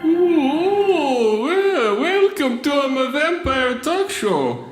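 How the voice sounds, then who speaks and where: A man playing a hammy, lonely vampire who hosts a talk show, speaking in a slow, wheedling way in a comedy audio drama. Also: extremely high pitch, very animated, exaggerated voice. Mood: eager, welcoming. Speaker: talk show host character. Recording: roomy echo, music behind.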